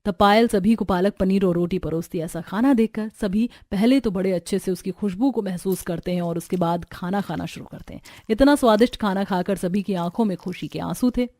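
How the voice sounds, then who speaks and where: A woman's voice: mid-range voice, a little fast, hardly any pauses, dark tone, no echo. A woman giving scripted narration of a simple cartoon family story.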